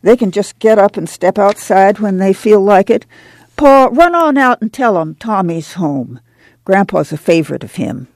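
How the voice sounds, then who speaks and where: Woman as a creaky, earnest country mother. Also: mid-range voice, lively intonation, normal speed, darkish tone, dry recording, pitched above the performer's own voice, natural voice. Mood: confiding. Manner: homespun, hesitant, confiding. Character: earnest, protective farm mother, middle-aged. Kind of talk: audiobook character acting.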